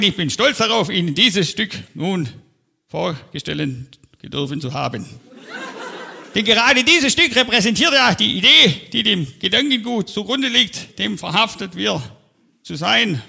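A man's voice: sits high, animated, a little slow, vocal fry, bright, forceful tone, slightly rough, exaggerated voice. A man playing a pompous, self-important speaker who gives a long-winded stage speech. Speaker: comedian on stage. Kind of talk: parody of a pompous official speech. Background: audience laughter.

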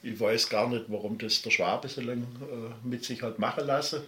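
A man's voice: mid-range voice, steady tone, moderate pace, fairly bright tone, reverberant. A middle-aged man with a Swabian accent, talking passionately and at length as an interview guest. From Baden-Württemberg, Germany. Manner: passionate, emphatic, digressive. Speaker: musician guest. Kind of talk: interview talk.

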